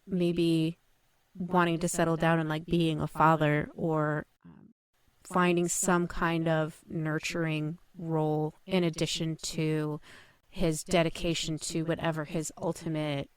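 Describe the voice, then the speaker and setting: A woman's voice: sits low, level tone, measured pace, smooth, dry recording. A woman talking casually as a podcast panelist, thinking through her points with tentative, thoughtful phrasing. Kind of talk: casual discussion.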